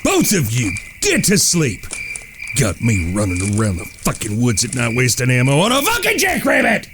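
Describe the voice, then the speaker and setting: A man's voice: mid-range voice, wildly swooping pitch, moderate pace. An American man playing an irritable, bossy, profane cowboy outlaw in a Western audio drama. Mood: angry, fed up. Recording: clear night-insect noise. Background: crickets.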